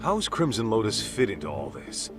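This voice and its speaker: A man's voice: on the low side, wildly swooping pitch, normal speed, music in the background. A man acting a laid-back, street-smart private detective in a dubbed video game cutscene, with a casual, blunt way of talking. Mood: probing.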